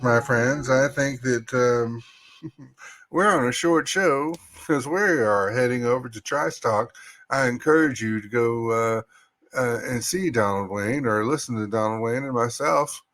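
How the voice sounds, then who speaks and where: A man's voice: medium pitch, lively intonation, unhurried, fairly bright tone. A man hosting a podcast in a casual, folksy way, reading aloud and chatting to listeners. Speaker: podcast host.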